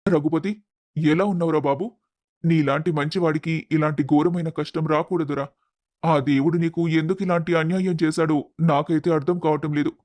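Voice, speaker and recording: A man's voice: on the high side, fairly even intonation, a little fast, a little mellow, natural voice. A man playing a blunt, indignant old village man who talks in a scolding, emphatic way in a dramatized story. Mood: sympathetic. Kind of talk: dramatized moral story acting.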